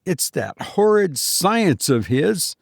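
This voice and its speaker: Man voicing a proper wife in raised pitch. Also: very animated, slow, creaky, dry recording, clean studio sound, heavily acted. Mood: alarmed. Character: bacteriologist's wife, proper, dutiful, fretful lady.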